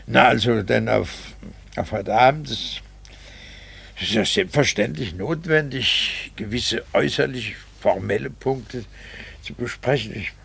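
An actor playing a bitter, condescending middle-aged husband in a theatrical 1960s radio drama. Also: male voice, medium pitch, creaky, fairly bright tone, husky, full character voice. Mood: faltering, evasive. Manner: sardonic, theatrical, drawn-out rhetorical phrasing. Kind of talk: 1960s German-language radio drama acting.

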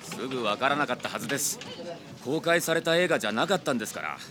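An actor playing an eager junior male police investigator, reporting briskly and dutifully in a radio drama. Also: medium pitch, expressive, crowd noise, natural voice.

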